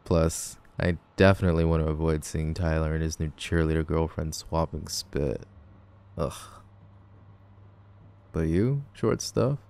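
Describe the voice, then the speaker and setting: A man's voice: extremely low pitch, unhurried, vocal fry, darkish tone, full character voice. A man playing a cocky, grumpy tall teenage delinquent boy in an audio roleplay, sarcastic, teasing and dismissive. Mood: dry, teasing. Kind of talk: boyfriend ASMR audio roleplay.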